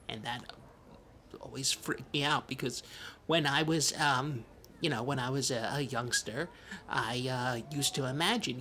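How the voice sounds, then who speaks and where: Comedy podcast co-host: male actor as an earnest, timid man-child, mid-pitched and bright, halting and rambling. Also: slight background noise, close to an everyday voice. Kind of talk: improvised comedy podcast banter.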